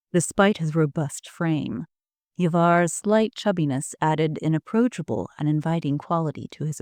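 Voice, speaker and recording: A woman reading scripted narration for an audio drama in a measured storytelling manner. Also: deep voice, a little mellow, dry recording. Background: music.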